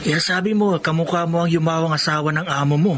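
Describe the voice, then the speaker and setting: A man's voice: fairly high pitch, fast, a bit bright, slight background noise, natural voice. Worried young brother played by a man. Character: anxious, questioning younger brother, protective of his sister. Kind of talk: radio drama acting in Tagalog.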